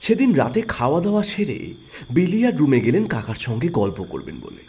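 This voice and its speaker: A man narrating an audio story in an expressive storytelling manner. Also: fairly high pitch, a little fast, slight background noise. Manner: expressive, rising and falling storytelling.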